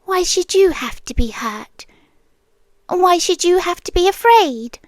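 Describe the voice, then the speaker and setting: A British woman voicing an obsessively protective monster girlfriend in an intimate, soft-spoken ASMR roleplay, often slipping into whispers. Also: very high-pitched, lively intonation, no room sound. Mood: yearning, possessive. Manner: slow, hushed, often dropping into whispers. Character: possessive, obsessively protective female monster girlfriend, stalker guardian. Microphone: close ASMR microphone, dry sound.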